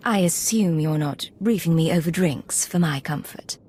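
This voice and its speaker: A woman's voice: deep voice, moderate pace, a little mellow, slight background noise, natural voice. An actress playing a self-assured female imperial agent in a video game, controlled and wry. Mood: wry, professional.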